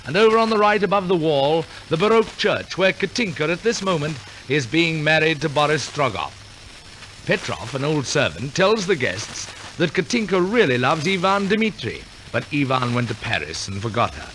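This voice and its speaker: A man's voice: a little high, big pitch swings, moderate pace, a bit bright. A man narrating a 1940s radio operetta in a theatrical, wry, tongue-in-cheek storytelling manner. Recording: noisy 1940s radio broadcast recording.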